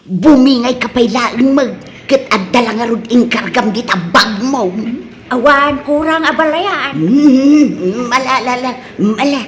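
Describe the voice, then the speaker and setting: Brazen nagging mother played by a woman, Ilocano accent, high voice, theatrical comedy delivery. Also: unhurried, slight background noise, lightly acted. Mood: pushy, wheedling. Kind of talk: comedy radio-style drama acting.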